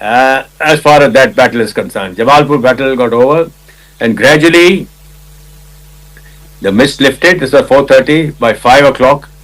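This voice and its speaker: An older Indian man, a retired army officer, recounting a battle in conversational detail, with a loud commanding voice, acting out quotes and often clearing his throat. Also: medium pitch, moderate pace, poor audio. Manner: loud commanding voice, vivid retelling with acted quotes. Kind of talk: conversational war storytelling.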